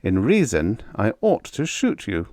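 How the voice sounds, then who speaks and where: A man's voice: lowish voice, wildly swooping pitch, unhurried, darkish tone, dry recording. A man voicing a self-possessed outlaw settler in an audiobook, speaking with dry politeness and an air of command. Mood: wry, polite. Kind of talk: audiobook character dialogue.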